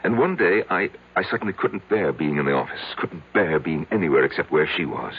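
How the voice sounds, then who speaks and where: Over a tinny old radio broadcast comes a self-loathing, betrayed husband in middle age. His voice is extremely deep and full of gravel, and he confesses in hesitant, repeated fragments. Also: lively intonation, full character voice. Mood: anguished. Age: middle-aged. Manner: halting, hesitant, repeating himself. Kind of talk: radio drama acting.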